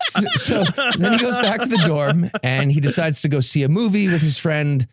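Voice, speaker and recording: A man's voice: fairly high pitch, animated, normal speed, heavy background noise. A man hosting a comedy podcast, giving a sarcastic, profane recap to his co-hosts. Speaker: podcast host.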